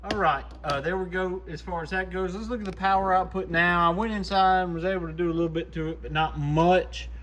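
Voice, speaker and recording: Higher-pitched man, casual, noisy recording. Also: steady tone, a little fast, hardly any pauses, lo-fi sound. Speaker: radio repair shop host and technician. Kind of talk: casual hands-on demo narration. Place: repair shop workbench. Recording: clearly noisy shop recording.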